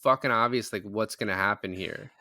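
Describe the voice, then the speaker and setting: A man's voice: fairly low pitch, brisk, sharp tone, dry recording, clean studio sound. A man in a casual film discussion, opinionated and emphatic, swearing freely as he makes his points. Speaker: film podcast panelist.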